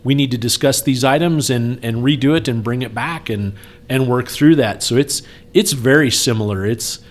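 Earnest man with a medium-pitched voice. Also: moderate pace. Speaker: speaker appealing for campaign support. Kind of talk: casual podcast talk.

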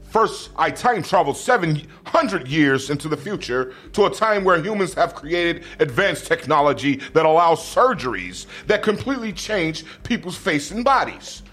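A man's voice: on the high side, sing-song, normal speed, few pauses, fairly bright tone. A man reading a comedic script aloud as a boastful, scheming action-movie villain, declaiming melodramatically. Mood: smug, explaining. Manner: melodramatic, declaiming, explains his schemes at length.

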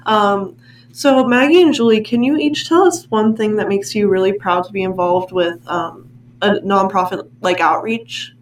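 A young woman in her late teens leads a relaxed podcast chat in a library room. Her words ring off the walls, and the mic sits back from her. A faint hum runs underneath. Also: mid-range voice, normal speed, roomy sound, distant mic. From Pennsylvania, USA. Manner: chatty, laughs between questions. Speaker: student podcast host. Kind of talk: casual podcast interview.

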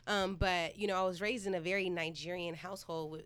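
A woman's voice: lowish voice, fairly even intonation, a little fast, a bit bright. A woman from Northern California, a playwright and professor, talking through ideas in a thoughtful podcast conversation, with many "like"s and restarts. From Northern California, USA.

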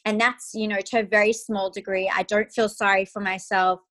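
A woman in her thirties from Australia, a guest speaking earnestly and with emotion in an interview, halting at times. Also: mid-range voice, level tone, fairly bright tone. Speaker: guest, clinical psychologist. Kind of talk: remote interview.

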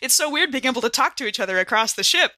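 A woman's voice: high-pitched, animated, rapid-fire, sharp tone, no room sound, studio quality. A woman chatting casually as a player in a tabletop roleplaying game. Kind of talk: casual in-character roleplay chat.

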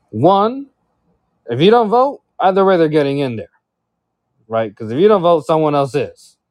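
A man's voice: medium pitch, darkish tone, no echo. A man laying out his points in a panel discussion, methodical and persuasive, often checking in with "right?". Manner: structured, persuasive, keeps saying right.

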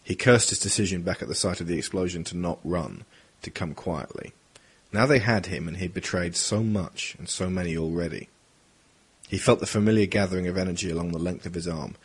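A man with a husky voice reading dramatic scripted narration for an audio drama. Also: deep voice, brisk.

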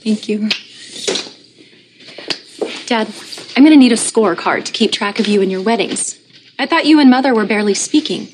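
An actress playing a wry grown daughter in a television soap opera family. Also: female voice, a little high, moderate pace, pauses often, background noise, close to an everyday voice. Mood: wry, skeptical. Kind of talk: soap opera drama acting.

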